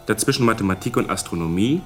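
Earnest young novice monk. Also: male voice, on the low side, lively intonation, quick pace, a bit bright, soft background music. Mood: earnest, wondering. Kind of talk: German radio drama acting.

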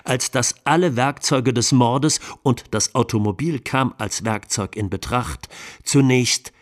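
An actor playing an incisive 1920s German defence lawyer, delivering a measured, emphatic closing speech in a radio docudrama. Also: male voice, medium pitch, lightly acted. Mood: pointed, accusing. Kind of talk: dramatised courtroom closing speech.

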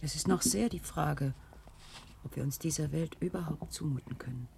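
A man playing a sceptical, sombre survivor in a 1970s radio drama, deliberate and formal in his speech. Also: a little high, vocal fry, a little mellow. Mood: sombre, doubting. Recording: noisy 1970s radio recording.

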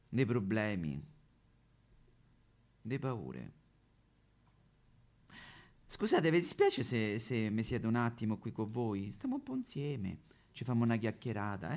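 A male actor puts on a Roman streetwalker, a bitter, lonely woman on a theatrical stage monologue. The delivery is coarse and confrontational, full of slang. The pitch swings widely from line to line. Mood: weary. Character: brash, weary Roman female street prostitute, bitter and lonely.

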